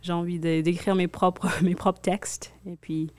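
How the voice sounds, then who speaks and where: A young woman from Africa, a hip-hop artist, chatting backstage in an interview, relaxed, with plenty of fillers and restarts. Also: fairly low pitch, measured pace, no room sound.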